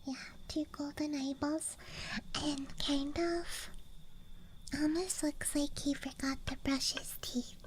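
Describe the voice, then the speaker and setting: Young woman for ASMR: high, breathy whisper, very slow hushed musing with childlike wonder, close-miked over faint outdoor night ambience. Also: steady tone, slight background noise. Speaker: ASMR streamer playing a dice goblin persona. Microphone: close microphone. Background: night-time campground ambience.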